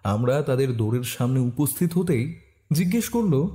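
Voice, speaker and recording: A man giving expressive scripted narration of a detective story. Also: medium pitch, normal speed.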